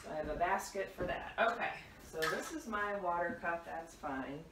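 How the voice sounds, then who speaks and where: A woman's voice: on the low side, expressive, normal speed. Middle aged lady vlogging while she tidies, unscripted chat, echoey kitchen, mic kinda far off. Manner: unscripted, talks while moving about doing chores. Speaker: home cleaning vlogger. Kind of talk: casual chat while tidying. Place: home kitchen, indoors. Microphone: camera a few meters away. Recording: roomy, distant mic, clattering dish noise. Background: dishes clattering, clicks.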